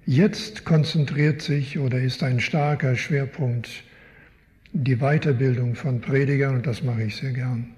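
An older man from Canada, a guest Bible teacher, answering interview questions in a slow, thoughtful, wry way. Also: mid-range voice, steady tone, darkish tone. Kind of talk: live interview in a church. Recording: long hall reverb.

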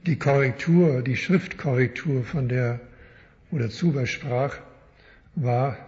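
An older man from Canada, a theology lecturer, answering interview questions in a measured, thoughtful way with frequent hesitations. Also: medium pitch, darkish tone. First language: German. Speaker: guest speaker, theology lecturer. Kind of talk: public interview before a church audience. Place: church hall before an audience. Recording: dull sound in a reverberant hall.

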